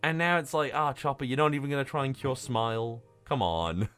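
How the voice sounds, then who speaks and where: A man co-hosting a podcast in casual, excitable chat about manga, swearing freely and talking emphatically with stammered restarts. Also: mid-range voice, fairly quick, clear, resonant tone, faint music behind. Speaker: podcast co-host.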